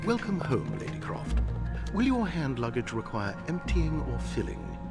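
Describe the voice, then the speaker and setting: A man's voice: fairly high pitch, very animated, normal speed, over loud music. The courteous manservant greeting his lady in an adventure game, formal tones rising and dipping widely. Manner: formal, deferential, dry. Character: polite, formal English butler. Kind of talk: video game voice acting.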